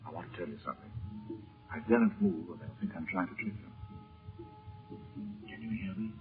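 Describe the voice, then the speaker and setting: Steady, protective adventurer hero, lowish voice, deliberate urgency, muffled old radio drama sound. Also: male voice, vocal fry, music in the background, exaggerated voice. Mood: hushed, scheming. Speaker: radio serial actor. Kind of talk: 1930s radio serial acting. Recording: narrow, muffled 1930s radio recording.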